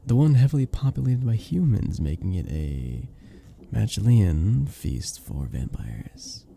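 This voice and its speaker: A man voicing a charming, modest vampire bartender in a soft-spoken ASMR roleplay, with a gentle, polite and slightly formal manner. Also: lowish voice, big pitch swings, unhurried, vocal fry, slight background noise, exaggerated voice. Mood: wistful, hesitant. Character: charming, sweet, modest young-looking male vampire bartender. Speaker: voice actor in an audio roleplay.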